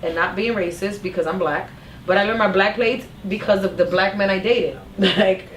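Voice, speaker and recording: A woman, a podcast guest telling stories in a lively, profane, restart-filled way. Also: medium pitch, normal speed, slight background noise. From Harlem, New York. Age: 30s. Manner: animated, profane storytelling with many restarts. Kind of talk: casual chat. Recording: echoey room, mic from a distance.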